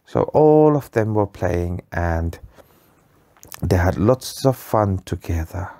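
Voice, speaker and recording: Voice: sits low, lively intonation, takes it slowly, warm tone. An adult telling an improvised bedtime story to a child, patiently and in simple phrases.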